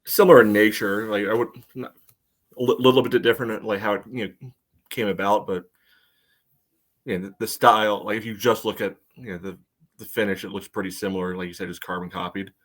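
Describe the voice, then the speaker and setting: A man with a fairly low voice talks as co-host of a sports podcast. He analyses the game conversationally, feeling out his phrases with a lot of "you know". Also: fairly even intonation, brisk. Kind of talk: casual chat, sports analysis.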